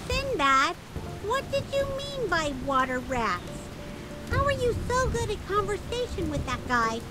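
Tiny squeaky curious game sidekick, super high n bouncy, loud music behind. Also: female voice, sing-song, a little slow, exaggerated voice. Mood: curious, puzzled. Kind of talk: video game character acting.

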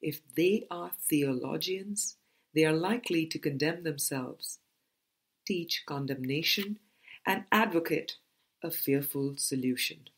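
Low-pitched, slow-reading woman. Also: husky. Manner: measured, deliberate phrasing. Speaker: audiobook reader. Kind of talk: scripted book reading.

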